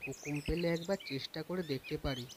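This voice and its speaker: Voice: medium pitch, a little fast, noisy background, lightly acted. An actor voicing a confident, deferential young village singer in an audio folk tale, with polite, assured speech. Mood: confident, humble. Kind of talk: audio story character acting.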